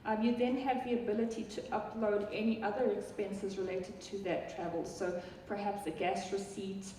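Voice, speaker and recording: Woman, South African accent, conference product presenter, chatty with ums, mellow tone, distant echoey hall recording. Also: mid-range voice, little pitch movement, normal speed, a little hiss or hum. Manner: chatty, many ums and restarts, addresses the audience directly. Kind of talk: live conference product talk.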